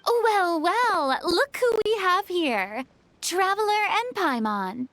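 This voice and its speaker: A woman's voice: extremely high pitch, sing-song, slow pace, a bit bright, slight background noise, heavily acted. A woman voicing a poised, courteous young woman in a video game, with polite, formal phrasing and a considerate manner. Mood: pleasantly surprised.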